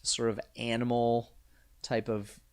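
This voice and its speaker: A man's voice: mid-range voice, little pitch movement, slow pace, clear, resonant tone. A man hosting a live quiz night, reading out questions and answers with joking asides. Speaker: trivia quiz host.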